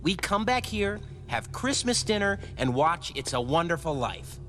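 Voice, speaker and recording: A man's voice: fairly high pitch, very animated, measured pace, fairly bright tone. An actor playing an eager, earnest teenage boy sidekick in a cartoon. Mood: hopeful, earnest. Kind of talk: animated series voice acting. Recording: faintly noisy cartoon soundtrack clip.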